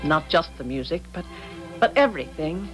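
A devoted, trusting wife in a 1970s radio play. She speaks in a lowish female voice with very expressive intonation, over faint music. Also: normal speed, low-quality recording. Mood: blissful, adoring. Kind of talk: 1970s radio drama acting. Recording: old radio drama, faint music behind.

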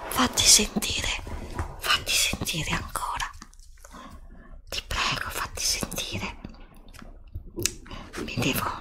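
Woman acting a frightened young student, whispering slowly. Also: sits low, pitched below the performer's own voice. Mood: distressed, pleading. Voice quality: breathy whisper. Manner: halting, repetitive, dramatic. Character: frightened, anguished young student reading a magic book. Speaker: ASMR roleplay creator. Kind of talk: whispered ASMR roleplay acting. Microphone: close desktop microphone.

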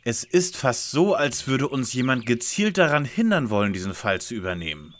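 A man playing a self-assured, scheming teenage detective leader in an audio drama parody, speaking deliberately. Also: medium pitch, big pitch swings, natural voice.

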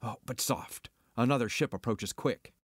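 An actor playing a cocky, skeptical smuggler pilot, declaiming theatrically in a Shakespearean dramatic reading. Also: male voice, medium pitch, normal speed, slightly rough, no room sound, studio quality. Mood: alarmed, bewildered.

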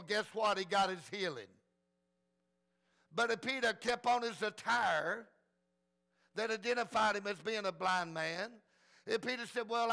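High-pitched, gravelly preacher man. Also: pushed and bright. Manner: folksy, plain-spoken, repeats phrases for emphasis. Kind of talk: fervent sermon retelling Bible stories.

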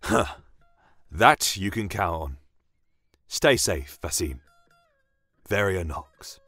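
A man's voice: sits low, animated, slow, bright, forceful tone, no room sound, faint music behind, heavily acted. A man voicing a blunt, joking soldier with a colloquial, matey manner in a fantasy audio story. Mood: friendly.

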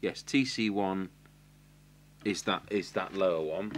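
A middle-aged man with an English accent gives an unscripted hands-on technical tutorial, halting and matter-of-fact as he thinks aloud while working. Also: sits low. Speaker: radio repair technician giving a demonstration. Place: indoor electronics workbench. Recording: clear hiss and electrical hum.

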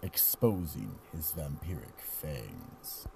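Man as a very deep, gravelly horror narrator. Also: sing-song, slow pace, heavy background noise, exaggerated voice. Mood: ominous. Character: ominous male narrator of horror tales. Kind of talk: scripted radio horror narration.

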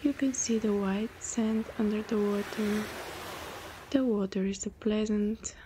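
A woman leading a guided meditation in a soothing, breathy voice that often softens almost to a whisper. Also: medium pitch, steady tone, a little slow. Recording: clear background noise, ambient sound.

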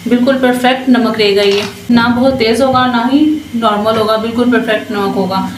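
A woman's voice: on the high side, fairly even intonation, brisk. A woman hosting a home cooking channel, explaining each step in a chatty, instructive way and often adding short blessings. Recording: echoey kitchen with frying noise. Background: frying and stirring sounds.

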